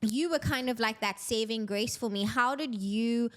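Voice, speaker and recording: A woman's voice: on the high side, normal speed, fairly bright tone. A woman hosting a podcast, interviewing a friend in a casual, chatty, effusive way.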